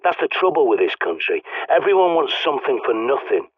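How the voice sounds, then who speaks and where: Gruff British survivalist on the radio. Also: male voice, medium pitch, big pitch swings, fairly quick, no echo. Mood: grumbling. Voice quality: gruff, sardonic, swears often. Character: gruff male survivalist radio guide, blunt. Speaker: radio guide in a game. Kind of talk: video game voice lines. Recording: dry tinny radio-like line.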